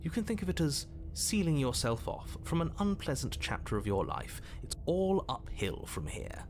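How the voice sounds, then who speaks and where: An actor playing a smug, controlling narrator in a horror video game, telling the story in measured, formal, theatrical phrases. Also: male voice, a little high, very animated, a little hiss or hum. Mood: solemn, wry.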